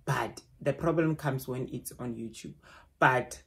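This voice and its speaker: Loud, chatty South African woman. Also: rumbling low, a little slow, creaky. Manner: chatty, rambling, with frequent restarts. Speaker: vlogger. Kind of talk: casual talk to camera. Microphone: phone camera.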